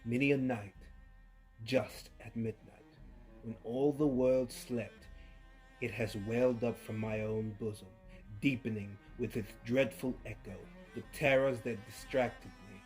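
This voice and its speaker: A man giving a theatrical horror reading as an unhinged male murderer, gloating and feverish, dropping to hushed murmurs and rising to loud outbursts with low chuckles. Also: fairly even intonation, unhurried, faint music behind, low-quality recording. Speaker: narrator reading a horror tale. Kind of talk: dramatic storytelling reading.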